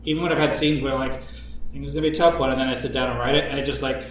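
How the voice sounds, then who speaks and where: A man's voice: mid-range voice, fairly even intonation, rapid-fire. A man hosting a casual Q&A chat, reading out questions and talking informally about writing. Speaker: podcast writer and Q&A host. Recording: echoey room, distant mic, faint noise.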